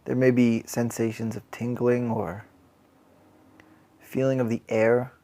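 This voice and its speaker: A man guiding a body scan meditation as a mindfulness teacher, calm and gentle, unhurried, leaving long pauses. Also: medium pitch.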